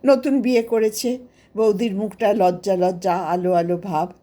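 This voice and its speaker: A woman with an Indian Bengali accent narrating a scripted story of memories in an expressive, unhurried storybook manner. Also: mid-range voice. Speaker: author narrating her own story.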